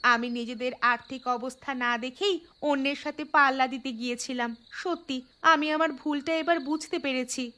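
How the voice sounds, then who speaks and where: A woman voicing a willful young newlywed wife in a cartoon, with an insistent, expressive manner. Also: sits high, normal speed, slight background noise. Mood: regretful. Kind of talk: Bengali cartoon voice acting.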